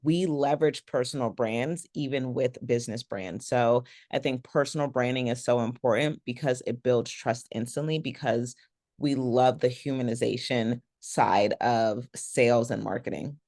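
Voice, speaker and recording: A woman's voice: a bass voice, no echo. Upbeat woman coach, dry close sound. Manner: animated, enthusiastic, quick to explain. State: slightly raspy after a three-day event. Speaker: guest, personal branding coach. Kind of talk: podcast interview conversation.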